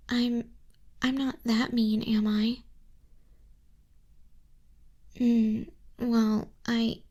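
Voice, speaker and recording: A woman playing a stern but softening griffin flying instructor in a soft-spoken ASMR roleplay, haughty in manner, close on a binaural mic. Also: fairly high pitch. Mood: haughty, flustered. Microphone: close binaural microphone.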